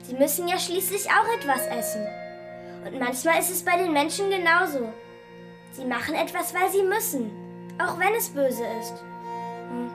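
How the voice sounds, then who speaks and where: An eerie little girl in a radio thriller, with a very high voice. She is innocent and earnest, speaking matter-of-factly of strange things, over soft music. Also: lively intonation, normal speed. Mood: earnest, thoughtful. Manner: childlike, matter-of-fact. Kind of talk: radio crime drama acting.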